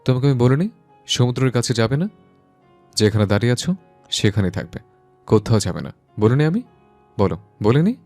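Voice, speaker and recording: A man's voice: medium pitch, animated, fairly quick, pauses often, a little mellow, close to an everyday voice. A man playing a stern, curt young husband who scolds, in a dramatised love story with music behind him. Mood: furious scolding.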